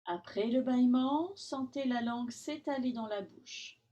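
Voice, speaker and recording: A woman yoga teacher guiding a relaxation session in calm, instructional short phrases with long pauses. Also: fairly high pitch, lo-fi sound. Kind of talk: guided Yoga Nidra relaxation.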